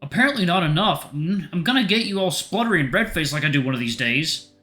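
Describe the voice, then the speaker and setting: A playful young boyfriend , acted by a man, caring and earnest, pitched up and very lively. The voice is bright, with music behind it in a story-game reading. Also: quick pace. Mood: playful, bossy.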